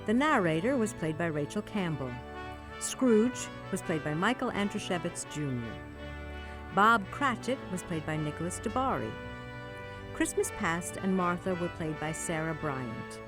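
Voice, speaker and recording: A woman announcer with a fairly low voice reads from a script. Music is clearly heard behind her. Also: sing-song, measured pace. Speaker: credits announcer.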